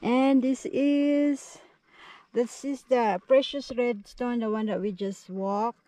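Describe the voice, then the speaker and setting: A woman's voice: a little high, fairly even intonation, takes it slowly, warm tone, no echo. A woman from the Philippines with a Filipino accent, chatting casually on a hiking vlog and admiring the view with short repeated exclamations, recorded outdoors on a phone. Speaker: vlogger. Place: outdoors on a canyon trail.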